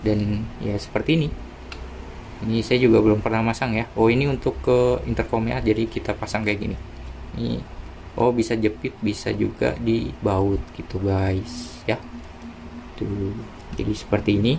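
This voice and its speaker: A man's voice: lowish voice, fairly even intonation, moderate pace, creaky, a little mellow, a little hiss or hum, poor audio. A man giving casual, unscripted unboxing commentary in an informal, hesitant, thinking-aloud way. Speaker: unboxing video presenter. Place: indoors, small room at a desk.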